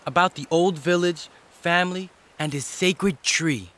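An actor playing a friendly, talkative young village boy with a gentle, chatty manner in an audio drama. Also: medium pitch, a little slow, natural voice.

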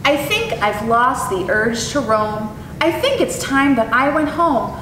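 A timid, impatient little tortoise hero from a picture book, as a woman reads him aloud. The voice is high and swoops dramatically, with room echo around it. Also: big pitch swings, measured pace. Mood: homesick. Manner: exclamatory, dramatic storybook delivery. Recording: echoey, distant mic with clear rumbling noise.

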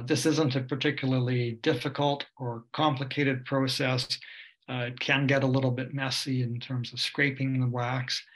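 A man's voice: medium pitch, fairly bright tone, low-quality recording. Older Canadian man giving a ski lesson. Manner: explanatory, hesitant with many uh and um fillers. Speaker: ski instructor. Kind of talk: webinar presentation over slides. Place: home room on a video call. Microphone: webcam or computer mic on a video call.

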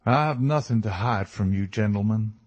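A man voicing a fierce, lovesick and desperate American criminal in a storybook reading, forceful and emotional. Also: medium pitch, lively intonation, slow, voice pushed up. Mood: cold despair.

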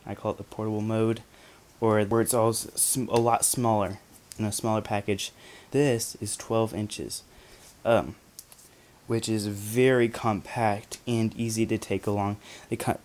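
A young man casually reviewing photography gear from his bedroom, talking to the camera with lots of ums and restarts. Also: fairly low pitch. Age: young adult. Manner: chatty, many ums and restarts.

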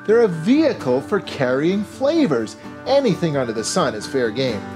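A man's voice: a little high, very animated, normal speed. A man in his forties hosting a cooking show, talking with playful enthusiasm straight to the camera. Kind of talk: scripted cooking show presenting. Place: home kitchen set and grocery store. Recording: music bed behind voice. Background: background music.

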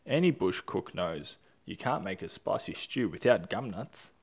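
A man acts out a sleepy koala from a storybook. It yawns through its know-it-all lines in a lively up-and-down voice. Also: deep voice, a little slow, breathy edge, exaggerated voice. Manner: yawning.

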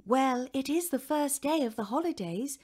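A woman's voice: high voice, animated, moderate pace, a little mellow, voice pushed up. Cheerful mother, played by a woman reader, affectionate, tender, reassuring, children's story.

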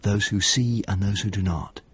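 An actor playing a melancholy, haunted painter in a radio drama, speaking in a reflective, halting, confessional way. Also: male voice, fairly low pitch, fairly even intonation, slightly rough, lo-fi sound. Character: Mario, melancholy haunted painter, a grown man. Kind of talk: naturalistic radio drama acting and monologue.

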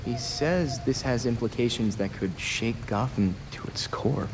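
An actor playing an earnest, studious young sidekick hero in a game, sounding slightly unsure of himself. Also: male voice, mid-range voice, a little slow, noisy background, close to an everyday voice. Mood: thoughtful. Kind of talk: cinematic game dialogue.

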